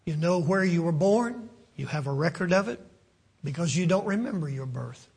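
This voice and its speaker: Husky, halting West Virginian preacher. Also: male voice, fairly high pitch, lively intonation, a little mellow. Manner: halting, many fillers and restarts. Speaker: pastor. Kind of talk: sermon.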